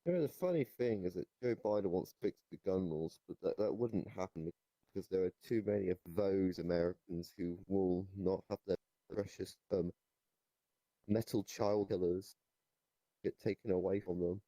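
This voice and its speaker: A young man improvising comedic chat in a deadpan, hesitant way. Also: low-pitched, normal speed, dry recording, low-quality recording. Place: online voice chat in a virtual reality game.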